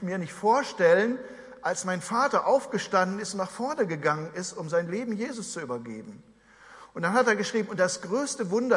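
Earnest German pastor in a reverberant hall. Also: male voice, high-pitched. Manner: earnest, conversational preaching with asides to the congregation. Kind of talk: sermon.

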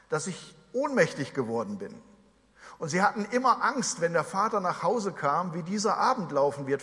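Male pastor, 40s, from Germany; earnest conversational sermon delivery, a touch high, reverberant hall. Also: sharp tone. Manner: earnest, conversational preaching with asides to the congregation.

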